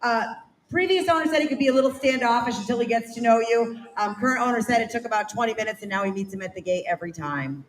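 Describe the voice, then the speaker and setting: A woman with a Midwestern American accent reading out horse descriptions at a sale in a brisk, matter-of-fact way. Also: a little high. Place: livestock sale barn. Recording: echoey barn from far off.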